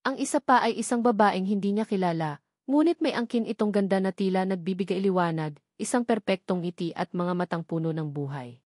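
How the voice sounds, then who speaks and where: Female narrator for a romance audio drama, measured storytelling, mid pitch, dry close sound. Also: a little mellow, no room sound.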